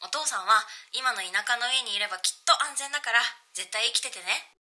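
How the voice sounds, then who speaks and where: A woman's voice: a little high, a little fast, close to an everyday voice. A woman playing an earnest young daughter talking heartfelt and casually to her father in a radio drama. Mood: tender, worried. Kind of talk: Japanese radio drama acting.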